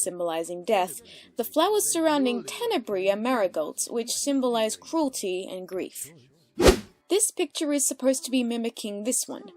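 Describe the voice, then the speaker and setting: A woman's voice: fairly high pitch, a little mellow. A woman in her twenties with an Australian accent, giving casual explanatory commentary as the creator of an audio drama.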